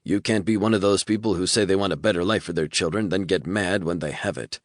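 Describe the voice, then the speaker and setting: A man voicing a calm, formal male lich who lectures his boss politely. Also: deep voice, quick pace, dry recording, clean studio sound, lightly acted. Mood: cool.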